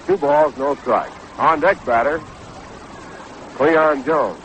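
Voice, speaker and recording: An American man calling baseball play-by-play on the radio in a measured, descriptive way. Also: on the high side, fairly bright tone, highs cut off. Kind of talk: live radio sports commentary. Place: ballpark broadcast booth. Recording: noisy 1960s AM radio broadcast.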